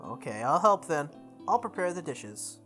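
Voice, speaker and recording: A woman's voice: low voice, big pitch swings, normal speed, fairly bright tone. A woman voicing a polite, cheerful schoolgirl in a visual novel read aloud, with music behind.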